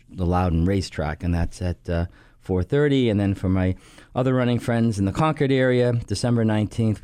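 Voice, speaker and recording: A man's voice: sits low, warm tone, smooth, no room sound, studio quality. A middle-aged man hosting a casual radio talk show, rambling with many fillers and restarts. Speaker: radio show host and company head. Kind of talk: casual radio chat and interview. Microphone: studio microphone.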